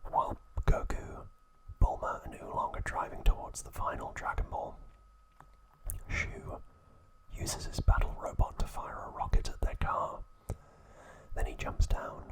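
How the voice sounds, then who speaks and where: A man narrating in a hushed, breathy ASMR whisper, in short phrases with pauses. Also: poor audio. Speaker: ASMR host.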